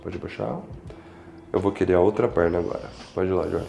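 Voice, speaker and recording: Casting: young Brazilian male chiropractor, low gravelly voice, reassuring, guiding a patient in a roomy space. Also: fairly quick, dark tone. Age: young adult. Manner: reassuring, checking in often. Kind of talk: instructing a patient during treatment, explaining to camera. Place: small indoor treatment room with large windows. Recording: roomy echo, faint music behind.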